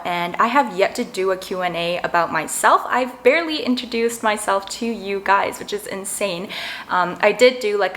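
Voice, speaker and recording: A woman in her twenties, raised in Canada, chatting casually and brightly to viewers while tasting desserts. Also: medium pitch. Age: 20s. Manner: bubbly, chatty, eating between sentences. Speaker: vlogger. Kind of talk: casual mukbang chat.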